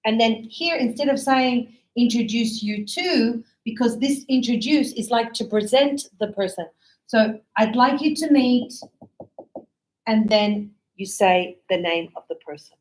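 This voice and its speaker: A woman teaches English with a high, bright-sounding voice. She talks at an unhurried pace and spells each point out clearly. She acts out short example dialogues for her learners. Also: rough recording. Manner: slow, clear explaining, acting out sample dialogues.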